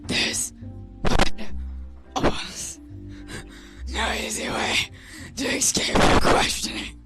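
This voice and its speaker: A woman guesting on a let's play, reading game text aloud in a casual, halting way, with some hushed phrases. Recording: music playing behind the voice. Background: music.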